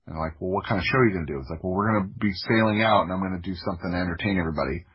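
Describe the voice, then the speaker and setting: A man's voice: deep voice, rapid-fire, husky, dull audio. An American man casually telling personal anecdotes in a wry, self-deprecating way. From Florida, United States. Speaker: podcast guest, storyteller.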